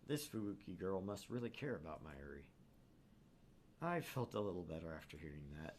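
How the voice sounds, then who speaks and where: A man voicing a haunted young male student who narrates his anguish in a brooding, measured way in a visual novel. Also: sits low, very animated, a little mellow. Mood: guarded. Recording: clear background noise.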